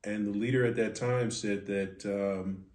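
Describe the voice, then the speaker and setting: A man in his fifties from Philadelphia with an American accent, telling his story as a podcast guest in a measured, reflective way with deliberate pauses. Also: lowish voice, fairly even intonation, a little mellow. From Philadelphia, United States. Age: 50s. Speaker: podcast guest, board president of a ministry. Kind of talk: interview answers, personal storytelling. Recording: echoey, reverberant room.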